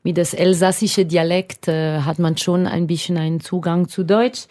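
A woman's voice: lowish voice, steady tone, normal speed, warm tone. French woman speaking fluent accented German. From Alsace, France. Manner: fluent but non-native German with small grammar slips, laughs easily. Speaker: singer, studio guest. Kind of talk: radio interview. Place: radio studio.

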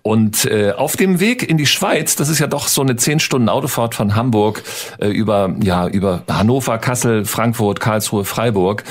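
Man, podcast host, husky voice, swinging intonation, jokey, radio studio. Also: fairly low pitch, moderate pace. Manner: jokey and enthusiastic, lively intonation. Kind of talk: casual podcast interview.

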